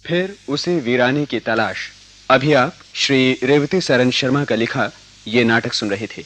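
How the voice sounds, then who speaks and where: A male radio announcer with a medium-pitched voice. He reads in a formal, scripted style, measured and deliberate. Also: a bit bright, soft background music.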